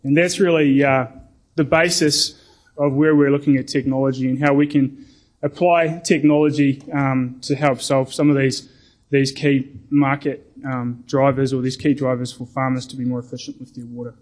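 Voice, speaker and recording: A middle-aged man with a New Zealand accent giving a conference presentation, talking deliberately with frequent ums and repeated words. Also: mid-range voice, level tone, a little mellow. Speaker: conference speaker.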